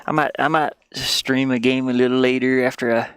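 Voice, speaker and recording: A man's voice: mid-range voice, fairly even intonation, a little fast, a bit bright, clean tone, no echo. A man streaming casually, rambling and thinking aloud as he goes. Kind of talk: casual live stream chat. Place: inside a van he lives in.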